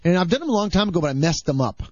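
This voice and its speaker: A man who is a regular on a podcast, rambling and halting as he talks. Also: high-pitched, big pitch swings, a little mellow, no room sound. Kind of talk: casual chat. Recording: dull lo-fi podcast audio.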